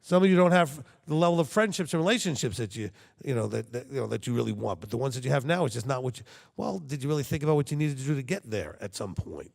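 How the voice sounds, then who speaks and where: A man's voice: medium pitch, expressive, fast, gravelly, few pauses, in a large hall. A man preaching a sermon to his congregation, talking conversationally and checking in with frequent rhetorical questions. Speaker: congregation leader.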